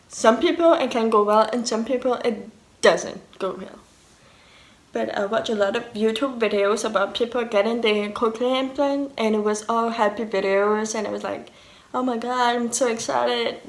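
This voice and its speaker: A woman's voice: mid-range voice, reverberant. A woman in her twenties from Canada, a native French speaker with a French and deaf accent, talking candidly in a casual vlog. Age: 20s. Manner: candid, fillers and restarts, quoting others. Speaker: vlogger.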